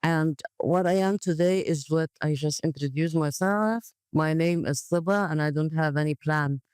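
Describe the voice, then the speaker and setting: A woman's voice: sits low, normal speed, a little mellow, smooth, no room sound. A woman from Gaza, answering interview questions in a blunt, matter-of-fact way. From Gaza, Palestine. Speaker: podcast guest, community development worker.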